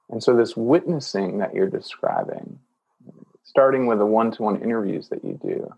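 A man's voice: on the low side, creaky, muffled recording. A man hosting a podcast interview, reflecting back what his guest says and checking his understanding. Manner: reflective, paraphrases guest, frequent "mm" affirmations. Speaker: podcast host. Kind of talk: conversational interview.

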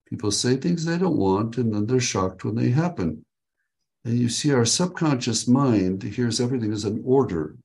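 Man, workshop leader on a video call from home, upbeat and joking, middle pitch, dictates key phrases slowly. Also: lively intonation, vocal fry, a little mellow. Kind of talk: coaching a group on a video call. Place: his home.

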